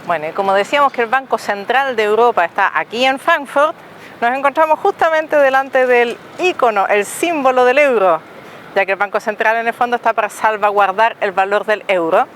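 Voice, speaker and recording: A chatty travel host, talking to camera outdoors in a city. The delivery is relaxed and explanatory, with questions to the viewers, and faint city noise sits behind the voice. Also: mid-range voice, fairly quick. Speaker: travel vlogger and guide. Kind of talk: casual travel narration to camera. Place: outdoors on a city tower deck and streets.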